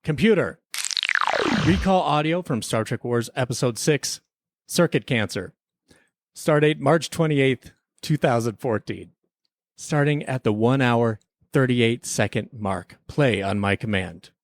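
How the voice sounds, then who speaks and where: A man's voice: medium pitch, measured pace, no echo. A man hosting a comic podcast radio drama in a scripted, investigator-like style.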